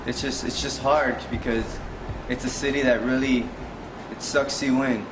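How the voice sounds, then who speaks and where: A man's voice: medium pitch, fairly even intonation, moderate pace, reverberant, background noise. A man in his twenties from Miami speaks reflectively in an interview, repeating himself as he thinks. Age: 20s. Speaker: reality show cast member. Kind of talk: confessional interview.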